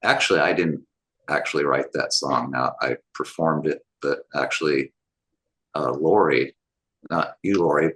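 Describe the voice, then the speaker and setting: Man, very deep low voice, husky, rambling, casual group chat, lots of asides. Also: fairly bright tone. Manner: rambling, many fillers and asides. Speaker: group call participant.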